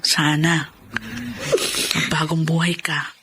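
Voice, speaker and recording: A woman acting in a Filipino radio drama as a forgiving, emotional elder sister and grieving wife, speaking earnestly and deliberately. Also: deep voice, completely flat delivery, bright, forceful tone, husky, heavily acted. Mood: tender, forgiving.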